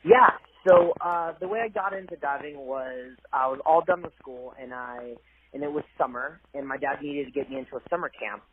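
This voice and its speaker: A man's voice: medium pitch, fairly even intonation, moderate pace. A young man from Cambodia, a college athlete, talking earnestly and a bit hesitantly in a phone interview. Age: young adult. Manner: soft-spoken, earnest, hesitant with fillers. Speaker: guest athlete. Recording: tinny phone line with faint hiss.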